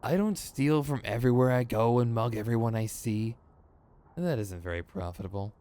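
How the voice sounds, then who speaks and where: A man's voice: mid-range voice, moderate pace, mellow, clean tone, lightly acted. A man playing a theatrical, sardonic supervillain in a scripted ASMR roleplay, exasperated and smug, with mock menace and a grumbling, rambling streak.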